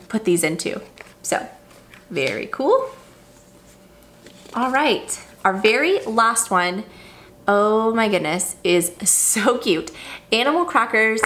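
A woman's voice: medium pitch, a bit bright. Chatty woman gushing in her kitchen. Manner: upbeat, chatty, gushing over items. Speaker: vlogger. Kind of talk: casual unboxing chat. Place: home kitchen, indoors.

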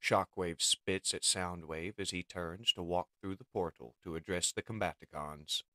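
Deep-voiced male comic book narrator. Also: fairly even intonation, moderate pace, bright, forceful tone, dry recording. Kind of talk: dramatic scripted comic narration.

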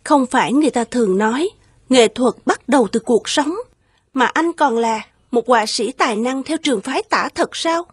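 A woman voicing a gentle, caring young wife with a soothing manner in an animated fairy tale. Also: a little high, normal speed, breathy edge, dry recording, clean studio sound. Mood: encouraging.

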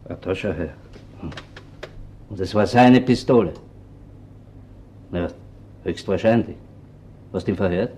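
An actor playing a blunt, sceptical police detective in a crime radio play, dry and matter-of-fact, with an Austrian accent. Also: male voice, deep voice, unhurried, pauses often, mellow, slight background noise.

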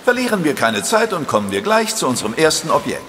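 A man's voice: a little high, sing-song, fast, fairly bright tone. A man acting in a German audio drama as a courteous, showy auctioneer formally addressing a room. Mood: welcoming. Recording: long hall reverb, faint noise.

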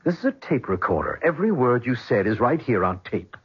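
An actor playing an arrogant, domineering middle-aged tycoon in a 1970s radio drama, sardonic and coldly mocking. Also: male voice, medium pitch, big pitch swings, brisk, husky. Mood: scornful, commanding. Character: ruthless tycoon, arrogant father of a grown son, coldly mocking. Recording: dull vintage radio drama recording.

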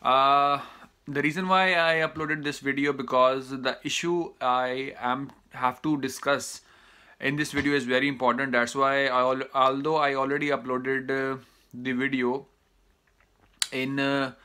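A man with a Pakistani accent and Urdu as his first language talks straight to a camera in a small indoor room. His voice is bright and forward, at an average pitch for a man. He stops often to fill gaps with "uh" and to restart his sentences. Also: little pitch movement, measured pace, clear, resonant tone. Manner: hesitant, many "uh" fillers and restarts. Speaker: vlogger giving advice to students. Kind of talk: casual advice monologue to camera.

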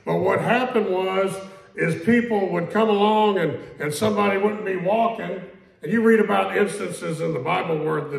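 Pastor, male, conversational sermon, gravelly, echoey sanctuary. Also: high-pitched. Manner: conversational preaching, repeats questions, quotes scripture slowly. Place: church sanctuary. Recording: echoey church room.

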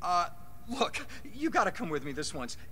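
An actor playing a panicky, self-pitying, foul-mouthed mob lawyer in a game, frantic, stammering and shrill. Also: male voice, very animated, normal speed, pushed and bright, slightly rough, full character voice. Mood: pleading. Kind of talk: video game cutscene acting.

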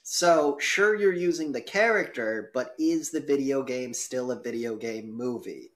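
Guy hosting a debate, medium pitch, stumbles through his questions and swears at himself. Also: lively intonation, measured pace. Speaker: podcast debate moderator. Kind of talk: casual, unscripted questioning.

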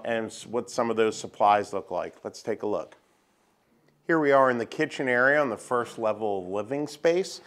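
A middle-aged man of average pitch walks through a half-built wooden house. He explains the ductwork in a plain, practical way, with the odd "um" along the way. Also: smooth. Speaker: home builder show host. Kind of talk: casual walkthrough explanation. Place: unfinished wooden house under construction, indoors.

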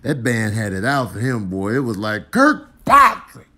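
A man's voice: on the low side, moderate pace. An American man giving comedic commentary on clips, exaggerating with slangy, incredulous delivery.

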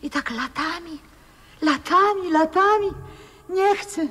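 An actress playing a frail old former opera singer, adoring and wistful, in poetic 1960s radio drama style. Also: female voice, very high-pitched, slow, exaggerated voice. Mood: anxious, devoted. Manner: poetic, theatrical, hushed and breathy. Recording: old radio recording with long reverb.

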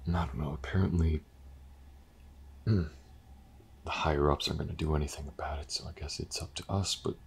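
Man acting a very deep, gravelly weary detective. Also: heavily acted. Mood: sincere, weary. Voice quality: gravelly, husky. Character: weary, skeptical male detective, gruff but sincere. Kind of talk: audio roleplay acting, intimate and hesitant.

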